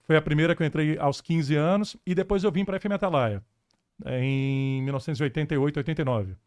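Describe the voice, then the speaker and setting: Man in his fifties, Northeastern Brazilian accent, quick talker, dry close sound. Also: a little high, a little mellow, no echo. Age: 50s. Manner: jokey, self-deprecating. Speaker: radio announcer guest. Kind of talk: casual podcast chat.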